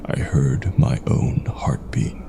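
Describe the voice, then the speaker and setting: A man narrating a scripted first-person horror story in a hushed, ominous, deliberate manner. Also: in a whisper.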